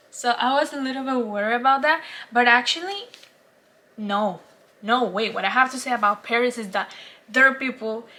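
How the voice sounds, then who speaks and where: A bright, somewhat high-pitched woman with a Colombian Spanish accent talks to camera in a relaxed, rambling way. She laughs easily and trips over her words, restarting sentences as she goes. She speaks English as a second language after Spanish. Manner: chatty and rambling, laughs often, many restarts. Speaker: vlogger. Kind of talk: casual travel vlog.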